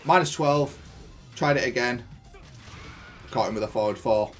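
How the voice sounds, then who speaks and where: A man's voice: mid-range voice, normal speed, fairly bright tone, soft background music. Man casually breaking down gameplay. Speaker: gaming content creator reviewing own match. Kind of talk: casual gameplay analysis commentary.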